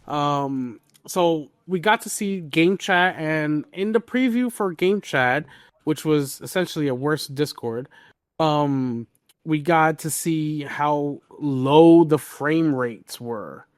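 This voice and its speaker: A man's voice: fairly high pitch, slow, dry recording, studio quality. A man hosting a podcast, casually discussing the news with exasperated, opinionated commentary. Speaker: podcast host.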